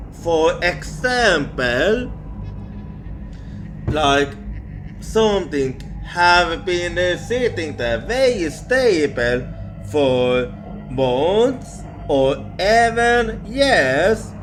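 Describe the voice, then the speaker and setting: A man telling a ghost story in an ASMR roleplay style, halting and hesitant, often repeating himself. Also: fairly high pitch. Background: music.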